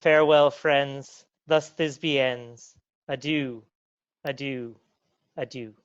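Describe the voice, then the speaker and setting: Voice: mid-range voice, steady tone, slow, clear, resonant tone, no room sound, close to an everyday voice. An amateur actor playing a grieving young maiden in a comic stage play, wailing and overwrought, over a lo-fi video call. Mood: dying farewell. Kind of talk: hammy amateur stage acting.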